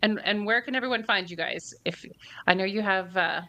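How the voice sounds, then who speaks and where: A woman's voice: medium pitch, fairly quick, bright, forceful tone. A woman hosting a casual interview podcast, upbeat and giggly, encouraging her guests. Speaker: podcast host.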